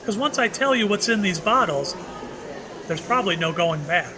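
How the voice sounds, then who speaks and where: A mysterious, ageless shopkeeper played by a man, with a high voice that moves about in animated swings. He is slyly wry and cryptic, teasing out his hints as in a radio play, over a clearly noisy recording. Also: moderate pace. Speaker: actor in an audio play. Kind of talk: audio drama acting.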